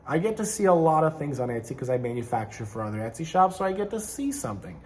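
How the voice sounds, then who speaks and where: A man's voice: a little high, sing-song, quick pace, slight background noise. A middle-aged man from Ukraine who hosts a channel, talking casually to camera in a rambling way and often correcting himself mid-sentence. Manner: rambling, self-correcting, conversational with frequent restarts. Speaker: channel host, online seller and manufacturer.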